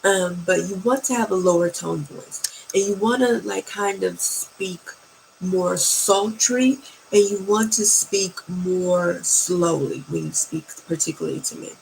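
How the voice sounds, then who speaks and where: A woman with a naturally low, soft voice, giving dating advice slowly and sultrily and often checking in with "okay?" or "right?". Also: vocal fry, slight background noise, poor audio. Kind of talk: slow, soft-spoken advice talk.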